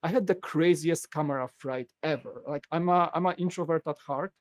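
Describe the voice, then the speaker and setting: A man with a Polish accent, talking in a fairly high voice as a podcast guest. He tells his story with animated energy and acts out what others said. The sound is dry and close, with no room echo. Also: fairly quick. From Poland. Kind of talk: casual podcast interview.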